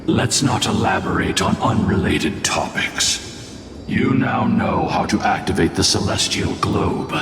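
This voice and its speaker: A grave ancient warrior from a fantasy game, very low and slow, proud and solemn. His delivery swings widely in pitch. It rings in a long reverb under loud music. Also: expressive, distant mic, noisy background. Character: ancient male warrior, grave, world-weary, proud guide. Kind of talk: scripted fantasy game dialogue.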